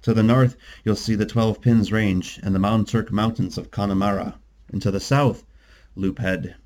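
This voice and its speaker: A man's voice: lowish voice, fairly even intonation, moderate pace, darkish tone, lightly acted. A man voicing a talkative, proud Irish tour guide in a storybook, with grand, expansive patter. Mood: informative.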